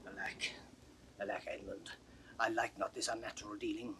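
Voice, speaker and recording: A man's voice: on the low side, level tone, normal speed, noisy background, natural voice. An actor playing a troubled, loyal old nobleman, urgent and confiding, in classical Shakespearean audio drama style. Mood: dismayed.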